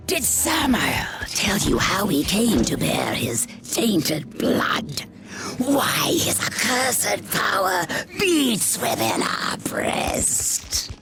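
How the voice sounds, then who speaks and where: Creepy spider queen from a game, super slow croaky hiss, swoops wildly, menacing. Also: medium pitch, heavily acted. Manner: drawn-out, theatrical. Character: menacing female spider queen monster, theatrical and sinister. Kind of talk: video game cutscene acting. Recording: music under the voice.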